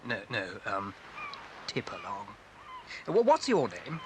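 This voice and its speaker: Bumbling, nervous birdwatcher, fussy and halting, very animated intonation, noisy cassette drama. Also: male voice, lowish voice. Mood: nervous, polite. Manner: stammering, hesitant. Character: bumbling, nervous male bird-watcher, fussy and easily flustered. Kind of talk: radio-play acting on a story tape. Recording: noisy old cassette transfer.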